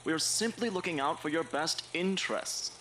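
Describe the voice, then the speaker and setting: A man's voice: fairly high pitch, normal speed, sharp tone, a little hiss or hum. A man playing a stern, scornful headmaster of a magic academy in an audio drama, scolding in a formal, haughty way. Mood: stern reproach.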